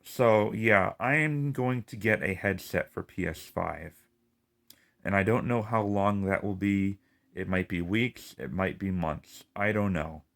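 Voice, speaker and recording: Lisping male gamer, rambling frustrated rant, low-ish voice, unhurried delivery. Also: a bit bright. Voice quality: lisp. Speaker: gaming video creator. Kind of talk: casual ranting vlog.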